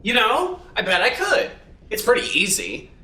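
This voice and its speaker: A man playing a tabletop roleplaying game, talking casually and in a rambling, animated way. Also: high voice, normal speed. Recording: roomy, distant mic, faint noise.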